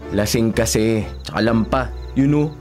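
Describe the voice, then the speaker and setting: A man's voice: on the low side, measured pace, darkish tone, soft background music, natural voice. A man with a Filipino accent acting a protective, earnest younger brother in a radio drama. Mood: reassuring, earnest.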